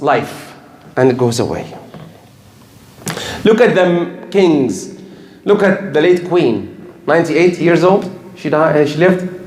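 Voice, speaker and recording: A man's voice: fairly high pitch, a little mellow, slight background noise. An older man preaching a sermon in English, emphatic and repeating his key points. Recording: echoey room.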